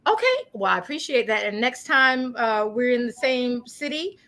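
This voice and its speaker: A woman's voice: fairly high pitch, normal speed. A woman hosting a community religious program, speaking informally in an encouraging, courteous way. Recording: steady background noise.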